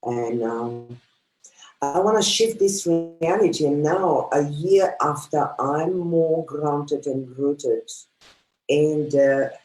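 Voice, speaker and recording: A woman from Bulgaria giving a slow, heartfelt spiritual talk as a guest. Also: extremely low pitch, darkish tone, low-quality recording. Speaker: guest speaker.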